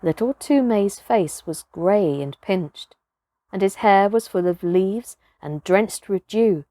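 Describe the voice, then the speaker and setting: A woman's voice: medium pitch, lively intonation, slow pace, dark tone, dry recording, clean studio sound. A woman reading a children's story as a dramatic narrator, with theatrical, emphatic phrasing.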